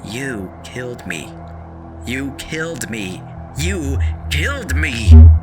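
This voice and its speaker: A man's voice: mid-range voice, very animated, slow, music in the background, pitched above the performer's own voice. A man voicing a dead mother's ghost on the phone in a horror story, broken, distorted and accusing. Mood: accusing, menacing.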